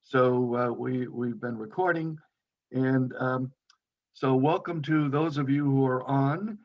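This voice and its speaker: A man hosts an online meeting and steers the guests through their introductions. He speaks haltingly, with many fillers, at a middling pitch. His audio comes through dull and low-fidelity. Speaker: meeting host. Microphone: video call.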